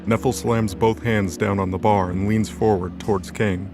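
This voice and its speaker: A man's voice: on the low side, steady tone, moderate pace. A man reading scripted narration for a light novel audio drama. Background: background music.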